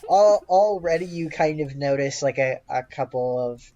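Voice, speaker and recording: Game master, mid-pitched, improvised tabletop narration, hesitant phrasing, muffled lo-fi audio. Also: animated, dark tone. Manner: hesitant, halting delivery. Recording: dull, lo-fi voice recording.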